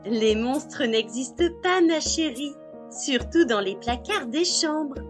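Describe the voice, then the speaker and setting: A woman playing a calm, patient mother reassuring her small child in a children's story. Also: high-pitched, slow, over music. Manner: patient, explaining.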